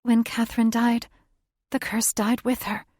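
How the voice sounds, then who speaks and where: A woman voicing a calm young witch. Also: a little high, measured pace, dry recording, studio quality, pitched above the performer's own voice. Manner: bright and composed.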